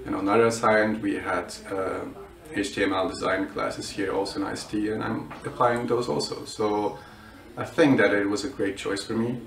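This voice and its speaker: A man's voice: on the low side, fairly even intonation, moderate pace, vocal fry, a bit bright. A student in his twenties from Bulgaria, answering interview questions with many hesitations and restarts. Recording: roomy echo, mic at a distance.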